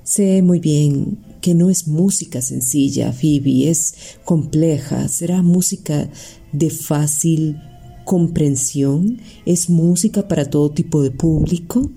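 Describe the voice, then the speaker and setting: Slow, low-voiced Costa Rican woman host. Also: gravelly, slightly rough, soft background music. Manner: warm formal praise, slow deliberate phrasing. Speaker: interview host. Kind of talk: slow formal radio-style interview.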